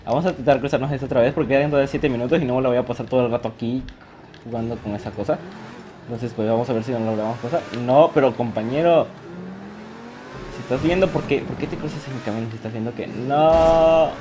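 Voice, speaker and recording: Excitable man giving gameplay commentary. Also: medium pitch, quick pace. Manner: excitable, laughs often, mocks himself. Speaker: gaming youtuber. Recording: game audio noise behind the voice.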